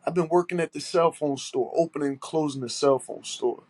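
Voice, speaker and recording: A man's voice: a little high, fairly quick, a little mellow, no echo. Congested, aggrieved man. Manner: aggrieved, emphatic, repeating himself, swearing. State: stuffy nose, congested. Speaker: podcast host. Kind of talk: solo monologue podcast.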